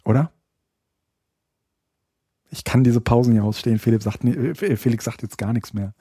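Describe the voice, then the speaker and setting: Husky, mellow man chatting hesitantly. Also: mid-range voice, moderate pace, no echo, studio quality. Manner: hesitant, many fillers and restarts; rambling, thinks aloud. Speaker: podcast co-host. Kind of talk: casual chat.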